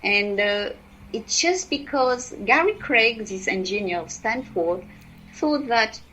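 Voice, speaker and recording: A woman with a French accent, speaking English as a second language, explaining her work as a podcast guest in halting speech full of fillers and restarts. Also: medium pitch, fairly bright tone, slight background noise, rough recording. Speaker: guest, EFT practitioner. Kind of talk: podcast interview.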